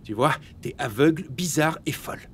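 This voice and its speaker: A man's voice: on the high side, lively intonation, normal speed, a little hiss or hum. An actor dubbing a teasing man in his forties in a French film. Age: 40s.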